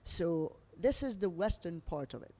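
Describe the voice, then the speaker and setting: A woman's voice: fairly low pitch, normal speed. A middle-aged woman from Lebanon, a restaurant owner talking expressively in imperfect English during an interview over a meal. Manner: expressive storyteller with non-native English phrasing.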